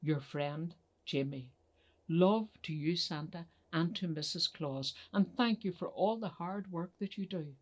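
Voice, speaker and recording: A woman's voice: lowish voice, measured pace, darkish tone. A woman voicing a polite, earnest little boy who pleads with emphatic repetition in a children's story. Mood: earnest, pleading.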